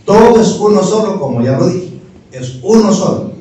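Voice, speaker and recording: A man's voice: high voice, expressive, normal speed, warm tone. A middle-aged man from Peru, giving a didactic panel talk that argues point by point with rhetorical questions. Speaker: speaker at a history panel. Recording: distant, echoey, muffled room recording.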